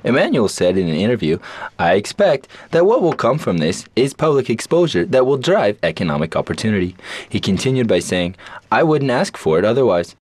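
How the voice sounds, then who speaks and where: A man reading scripted news reports in a measured, emphatic way. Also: fairly low pitch, sing-song, darkish tone, dry recording. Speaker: news presenter.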